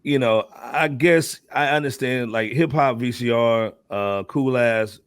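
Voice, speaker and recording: Male panelist, medium-pitched voice, informal chat delivery, dry sound. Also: unhurried, clear, resonant tone, dry recording. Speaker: panelist on a hip hop discussion show. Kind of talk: casual chat.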